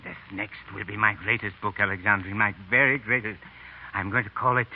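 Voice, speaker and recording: An actor playing a fervent, gruff, aging French novelist in a theatrical 1930s radio drama style. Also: male voice, lowish voice, steady tone, brisk, close to an everyday voice. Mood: musing, fervent. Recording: muffled, noisy 1930s radio recording.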